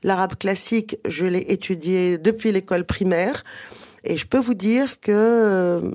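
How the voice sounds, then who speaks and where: A woman's voice: medium pitch, a little slow, mellow. Hesitant woman, native Arabic speaker. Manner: hesitant, explanatory, many fillers and restarts. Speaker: guest author. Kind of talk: radio interview.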